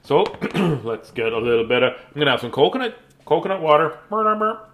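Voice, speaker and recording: A man's voice: a little high, very animated, brisk, dull audio. A man chatting casually as host of a bedtime story reading, playful and self-deprecating, with joking asides.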